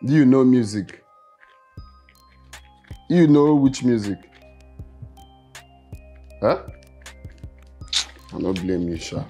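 A man's voice: medium pitch, animated, slow pace, pauses often, dark tone. Casting: a hot-tempered Nigerian kidnapper, boastful would-be music star, repeats himself as he scolds, film drama. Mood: irritated, subdued. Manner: blustering, repetitive, scolding. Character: volatile male kidnapper, a frustrated, boastful aspiring musician. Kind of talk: film acting, speaking Nigerian Pidgin.

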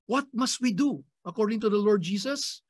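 A man's voice: high voice, expressive, moderate pace, breathy edge, dry recording, studio quality. A middle-aged man with a Filipino accent giving an earnest chapel sermon, repeating key phrases for emphasis. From Philippines. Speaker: pastor. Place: indoor chapel at a lectern. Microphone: stand mic in front of the mouth.

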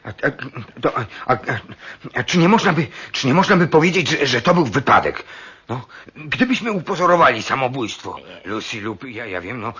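A timid, jittery husband in a radio drama. He stammers and defers, his sharp voice swinging up and down. The recording is somewhat dull and muffled. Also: medium pitch, sing-song, moderate pace, husky, exaggerated voice. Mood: flustered. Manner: stammering, repeating words, deferential. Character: nervous, hesitant husband, timid accomplice in crime.

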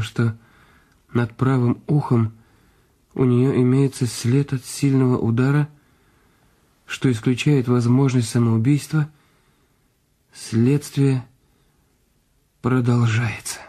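An actor playing a brooding, secretive husband in a radio detective play, speaking deliberately in short phrases. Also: male voice, mid-range voice, little pitch movement, mellow. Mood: reading gravely.

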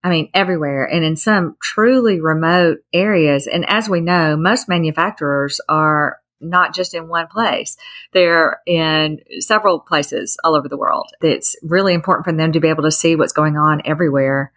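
A woman with a distinctly low-pitched voice hosts an interview. She speaks conversationally, affirming what she hears with enthusiasm. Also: normal speed, fairly bright tone. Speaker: podcast host.

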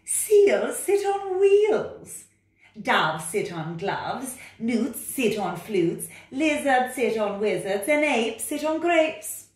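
A woman's voice: fairly high pitch, sing-song, takes it slowly, pitched above the performer's own voice. A woman voicing a bossy, impatient know-it-all storybook cat, making emphatic singsong rhyming pronouncements. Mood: exasperated. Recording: roomy, distant microphone.

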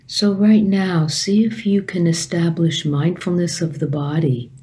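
A woman meditation teacher leading a guided meditation calmly and gently, in short phrases with long pauses. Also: fairly low pitch.

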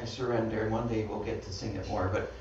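Canadian pastor preaching in a roomy hall. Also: male voice, fairly low pitch, steady tone, fast, darkish tone. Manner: conversational, self-deprecating, laughs often. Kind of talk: sermon with slides. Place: small church hall. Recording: dull, roomy sound from far off.

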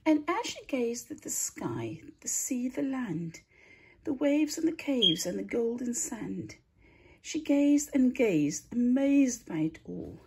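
A woman tells a rhyming picture-book story in a fairly high, very husky voice. Her delivery is theatrical and deliberate, swooping expressively in pitch and drawing out key words. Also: very animated. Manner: theatrical, deliberate storytelling with drawn-out words and breathy hushed line endings. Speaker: storybook reader, teacher.

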